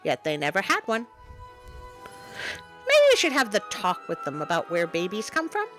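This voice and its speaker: A woman's voice: medium pitch, wildly swooping pitch, normal speed, fairly bright tone, soft background music. A woman hosting a story show, reading a tale aloud with playful, wry asides.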